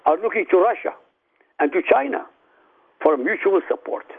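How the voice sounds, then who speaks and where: Man from Iraq, native Arabic speaker, high gravelly husky voice, phone-line sound, forceful declamatory delivery. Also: animated, normal speed. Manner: forceful, declamatory, addresses listeners directly. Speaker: radio guest. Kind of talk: emphatic argument on a radio talk show.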